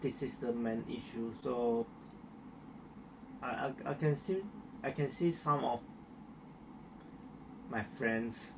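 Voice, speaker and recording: A man's voice: mid-range voice, steady tone, slow, creaky, pauses often, recorded from a distance, noisy background. A young man from Malaysia with a Malaysian accent, answering interview questions in a hesitant way with many restarts and fillers.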